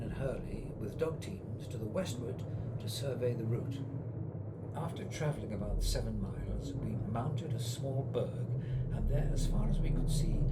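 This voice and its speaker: A man's voice: mid-range voice, heavy background noise. A man reading a first-person expedition account as scripted narration.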